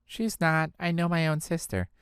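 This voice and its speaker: Woman acting an earnest, low-pitched teenage girl. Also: animated, normal speed, a little hiss or hum. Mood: pleading. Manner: pleading, earnest. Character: pleading, earnest teenage girl, devoted younger sister. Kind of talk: amateur video game voice acting.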